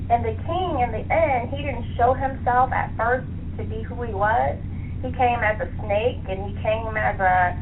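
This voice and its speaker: A woman's voice: a little high, moderate pace. A woman being interviewed on a radio show, chatting and explaining with examples as she thinks aloud. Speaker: interview guest, author and librarian. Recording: muffled phone-like line, faint noise.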